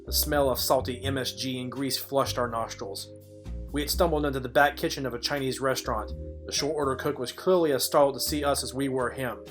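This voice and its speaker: Casting: man to play world-weary private eye narrator for noir spoof audio play, mid-range voice, dry deadpan wit. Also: medium pitch, a little fast, soft background music, lightly acted. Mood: wry, deadpan. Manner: deadpan, dryly wry. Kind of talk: film noir parody narration.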